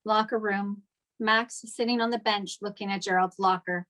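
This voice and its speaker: Female narrator for a script read, medium pitch, delivering scene directions. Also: fairly even intonation, normal speed, fairly bright tone. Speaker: stage direction reader at a table read.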